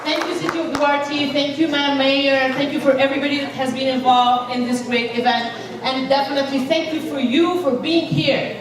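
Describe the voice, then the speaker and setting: Woman from egypt, motivational speaker, high voice, rallying the crowd, booming hall reverb, distant mic. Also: normal speed, hardly any pauses. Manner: energetic, rallies the crowd with questions. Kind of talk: inspirational speech to a live audience. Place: large event hall. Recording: echoey hall, voice from far off.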